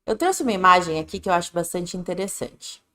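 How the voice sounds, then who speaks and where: A woman's voice: lowish voice, expressive, a little fast, pushed and bright. A woman with a Brazilian Portuguese accent, teaching an English lesson on a live stream in a casual, direct way, often checking in with "né?" and "tá bom?". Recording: echoey room.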